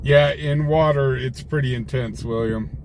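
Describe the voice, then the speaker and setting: A man's voice: mid-range voice, moderate pace. An American man chatting casually with livestream viewers from a car, telling rambling anecdotes. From United States. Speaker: livestream host, scanner-chasing reporter. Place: inside a car, live on patrol. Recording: raw livestream, loud vehicle noise.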